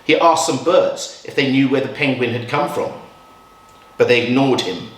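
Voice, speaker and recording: A man reading a picture book aloud to children in a measured, expressive storytelling style with dramatic pauses. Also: medium pitch. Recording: roomy echo, distant from mic.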